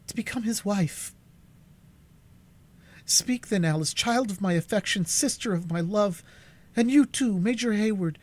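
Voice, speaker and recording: Male reader as dignified young woman, raised pitch, solemn, firm. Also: darkish tone. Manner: solemn, earnest. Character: proud, calm elder sister, young woman.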